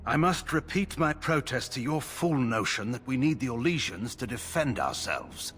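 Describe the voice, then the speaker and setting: An actor playing a grim, distrustful older general in a video game, speaking in a stern, scornful manner. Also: male voice, mid-range voice, sing-song, moderate pace, fairly bright tone.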